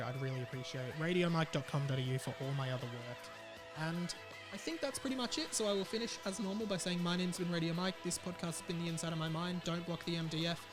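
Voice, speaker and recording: A young adult man with an Australian accent chats casually and informally as he hosts his solo podcast. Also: a little high. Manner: informal and chatty, reads out listener messages. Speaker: podcast host.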